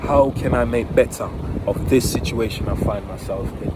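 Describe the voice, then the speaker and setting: A man's voice: mid-range voice, moderate pace. A man with a Jamaican accent giving an earnest, emphatic, informal motivational talk outdoors, repeating key phrases. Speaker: motivational vlogger. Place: outdoors near home by the water. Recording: very noisy outdoors, wind on the microphone.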